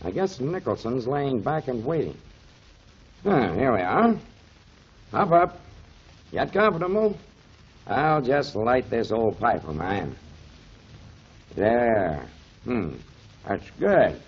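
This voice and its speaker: An actor playing a kindly, avuncular mentor explaining things to children in emphatic 1930s radio style, on a muffled old recording. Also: male voice, mid-range voice, sing-song, slow, pauses often, slightly rough, exaggerated voice. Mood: easygoing. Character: kindly grown man, storytelling mentor to children, pipe smoker.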